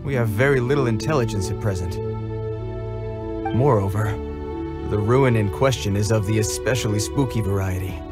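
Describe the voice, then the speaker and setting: A man's voice: lowish voice, normal speed, pauses often, natural voice. A man voicing a formal, dutiful guard soldier in a game cutscene, who gets flustered around children. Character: earnest, formal young male guard soldier. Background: game music.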